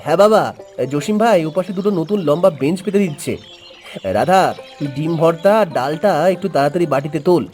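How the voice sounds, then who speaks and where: A man voicing a busy, dutiful young son at a village food stall in an animated story. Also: a little high, fast, lightly acted. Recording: voice over background music.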